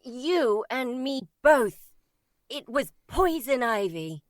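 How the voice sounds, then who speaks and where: A woman playing a wry, cool cat burglar in an audio drama, speaking in a measured, hesitant way. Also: high-pitched, sing-song, very noisy, heavily acted. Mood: wary.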